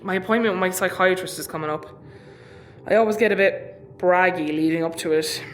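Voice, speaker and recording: Female officer actress, tough talk over frayed nerves, sardonic, medium pitch, light background hiss, sci-fi audio comedy. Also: fairly quick, natural voice. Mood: anxious.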